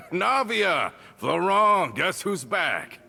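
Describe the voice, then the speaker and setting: A man's voice: high voice, very animated, extremely slow, gravelly, sharp tone, a little hiss or hum, exaggerated voice. A man voicing a hearty, easygoing henchman in a video game, talkative and casual. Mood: jovial, relieved. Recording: faint music behind.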